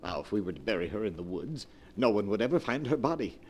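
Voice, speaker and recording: An actor playing a calculating, persuasive brother-in-law in a 1940s radio crime drama, with a controlling, theatrical manner. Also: male voice, lowish voice, sing-song, quick pace. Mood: scheming, persuasive. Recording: noisy vintage 1940s radio broadcast.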